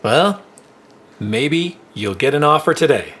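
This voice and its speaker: An actor playing a friendly, easygoing corporate receptionist in a naturalistic audio drama. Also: male voice, fairly high pitch, expressive, takes it slowly, fairly bright tone. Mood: easygoing, curious.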